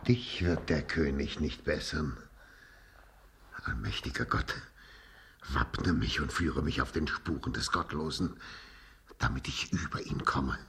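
An actor playing a zealous, scheming old archbishop in a radio play, solemn and menacing. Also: male voice, very deep voice, measured pace, gravelly, long pauses, fairly bright tone, breathy edge, full character voice. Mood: grim zeal. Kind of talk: German radio play acting.

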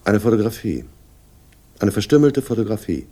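An actor in a radio crime play playing a shrewd, sardonic police inspector, speaking with dry, deliberate condescension and a husky voice. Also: male voice, fairly low pitch, very animated, warm tone. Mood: mocking. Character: sardonic, shrewd male police inspector, world-weary but superior. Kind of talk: 1960s German radio play acting, dialogue.

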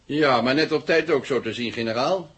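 A man's voice: medium pitch, normal speed, lightly acted. An actor playing a menacing, sarcastic male gunman who gives commands in a 1970 radio play. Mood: cold menace. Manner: commanding, sardonic. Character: John, menacing, sarcastic gunman giving orders. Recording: noisy old radio drama recording.

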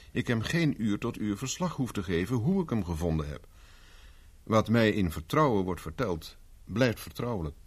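An actor playing a persistent private investigator in a 1970s radio drama, speaking dry and insistent. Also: male voice, lowish voice, slightly rough. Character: dogged male private investigator, cool and persistent. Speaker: private detective Carver.

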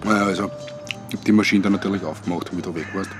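An actor playing a laconic, casual co-worker with a secret in a radio drama, speaking slangy Austrian-accented dialogue. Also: male voice, low-pitched, fairly even intonation, rapid-fire, faint music behind, close to an everyday voice. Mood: uneasy. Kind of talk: naturalistic radio drama acting.

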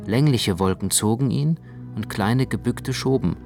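A man playing a gravely tender, observant storyteller in a literary radio drama, narrating with measured precision. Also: medium pitch. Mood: grave narration. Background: prominent music.

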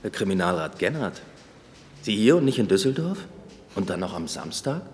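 An actor playing an earnest young police inspector who gives quick, guarded answers in a radio drama. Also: male voice, sits low, sing-song, in a large hall.

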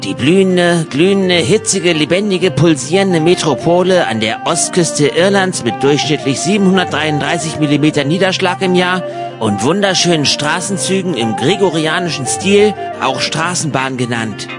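Man playing eager young German traveller, higher-pitched voice. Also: lively intonation, moderate pace, music in the background, natural voice. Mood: narrating. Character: eager, practical young German emigrant narrating his travels. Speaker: narrator and actor in radio play. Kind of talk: radio play narration and dialogue.

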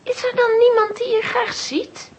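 Very high-pitched bossy little girl. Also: level tone, normal speed. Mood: eager. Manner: pert, exclamatory, bossy. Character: Wiske, bossy nosy excitable little girl. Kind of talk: children's audio drama acting.

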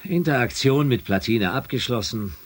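An actor playing a stern space shuttle commander in a science fiction radio play, with an authoritative, dramatic manner and a rough edge to his voice. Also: mid-range voice, animated, moderate pace, soft background music. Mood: brisk.

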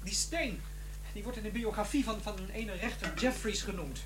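An actress playing a distraught, obsessive young artist, stammering and insistent, in a radio play. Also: female voice, mid-range voice, normal speed, natural voice. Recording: faintly noisy, humming radio play recording.

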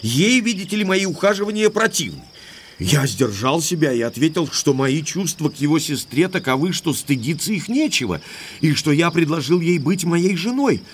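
An actor playing an indignant, lovestruck young English baronet in an audio drama, speaking emphatically like an exasperated gentleman. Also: a little high, very animated, normal speed, slight background noise.

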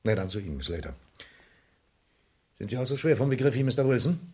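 An actor playing an exasperated, sarcastic police inspector with a blustering, scolding manner in a German radio crime drama. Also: male voice, medium pitch, animated, quick pace, a little mellow, husky. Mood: brisk, ironic. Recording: noisy 1970s radio drama recording.

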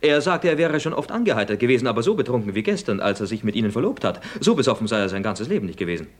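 An actor playing a sly, mocking, exuberant schemer in a German radio play, teasing and theatrical. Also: male voice, medium pitch, big pitch swings, very fast. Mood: sly glee.

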